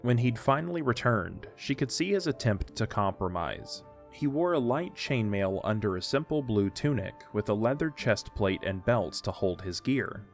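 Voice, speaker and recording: A man narrates an audio story in a low voice. He reads scripted prose, with music playing faintly underneath. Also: expressive, normal speed.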